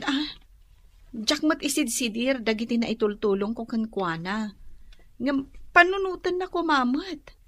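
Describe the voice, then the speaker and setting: A woman playing a hurt, soft-hearted young woman in an Ilocano radio drama, plaintive and troubled, with an Ilocano accent. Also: high-pitched, no echo, full character voice.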